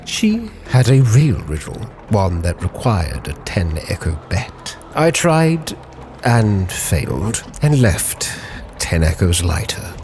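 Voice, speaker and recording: A man narrating a sea captain's log in a measured, literary, theatrical storytelling style. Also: sits low. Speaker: roleplay storyteller, channel host. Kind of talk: dramatic scripted narration. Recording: prominent background music. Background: music.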